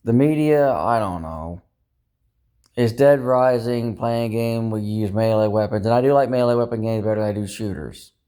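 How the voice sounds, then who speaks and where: A man's voice: lowish voice, normal speed, warm tone, smooth. A man rambling in a personal, confessional way, upset and dejected, venting with self-critical frustration and frequent swearing. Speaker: personal vlogger. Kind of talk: rambling confessional talk to camera.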